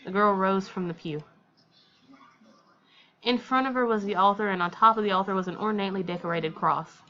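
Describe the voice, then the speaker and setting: A woman's voice: mid-range voice, a little fast, bright, forceful tone, lo-fi sound. A woman reading a visual novel aloud as a let's player, with informal, colloquial reactions along the way.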